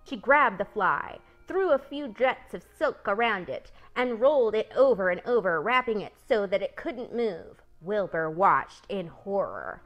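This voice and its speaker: A woman reading a children's book aloud as an expressive storyteller. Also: medium pitch, measured pace, hardly any pauses, lo-fi sound. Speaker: storybook reader, channel host. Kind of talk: expressive scripted story narration.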